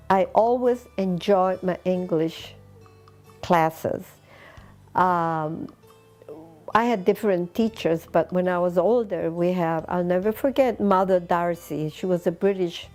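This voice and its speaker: A woman in her eighties from Peru, a Spanish speaker, reminiscing in an unhurried way with pauses between short phrases. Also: lowish voice, a little mellow, faint music behind. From Lima, Peru. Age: 80s. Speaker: interviewee recounting personal history. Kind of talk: reminiscing oral history interview.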